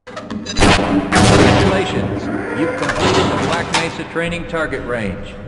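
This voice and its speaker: A man playing a formal, measured training course announcer giving instructions in a video game. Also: medium pitch, expressive, over loud music. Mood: formal, instructive. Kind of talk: scripted game tutorial narration.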